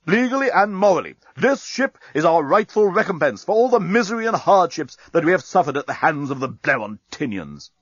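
Man performing a pompous, excitable alien army captain. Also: on the high side, fairly quick, hardly any pauses, no echo, lo-fi sound, voice pushed up. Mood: indignant, defensive. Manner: clipped, commanding, self-important. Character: pompous, excitable male alien military captain, formal and defensive. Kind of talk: comic sci-fi audiobook character voice.

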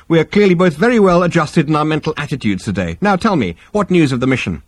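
Casting: slippery, cheery alien psychiatrist, pitched very high, swooping singsong, unctuous and smug, audiobook style. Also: male voice, expressive, fast, no room sound. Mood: cheerful, pleased. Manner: bright, unctuous, singsong professional patter.

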